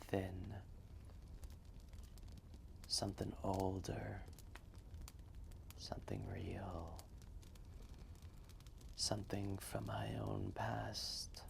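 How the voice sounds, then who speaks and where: A man voicing an ancient, gentle, wistful dragon telling a fireside roleplay story in a soft, breathy, measured and gravelly voice. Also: on the low side, a little hiss or hum, exaggerated voice. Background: quiet fireplace ambience.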